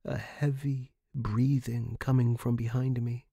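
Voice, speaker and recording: A man's voice: mid-range voice, level tone, unhurried, gravelly, dark tone, breathy edge, dry recording, studio quality. A man hosting a fiction podcast and narrating scripted horror in a dramatic style.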